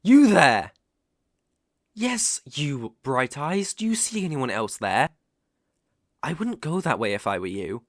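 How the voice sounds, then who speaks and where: A man with a British accent playing a sinister, smooth-talking werewolf in an ASMR roleplay, theatrical and teasing, sometimes dropping to a whisper. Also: a little high, big pitch swings, slow pace, long pauses, no room sound, studio quality. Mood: teasing warning. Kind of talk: ASMR roleplay audio acting.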